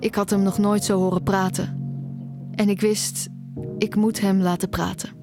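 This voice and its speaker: A woman's voice: medium pitch, little pitch movement, normal speed, close to an everyday voice. Actress as young female music student, nearly blind, anxious and insistent, radio play. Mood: reflective narration. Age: young adult. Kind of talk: audio drama dialogue and narration.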